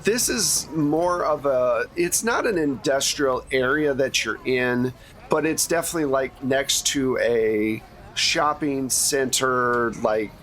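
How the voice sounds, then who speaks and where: A man's voice: medium pitch, slow pace, fairly bright tone. A man running a tabletop game as game master, narrating scenes off the cuff in a casual, conversational way.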